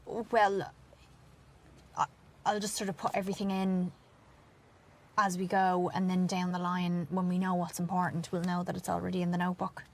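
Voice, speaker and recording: A woman's voice: on the low side, completely flat delivery, normal speed, long pauses, a little hiss or hum, natural voice. An actress playing an eager, deferential young female police constable in film dialogue, earnest and literal. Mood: earnest, explaining.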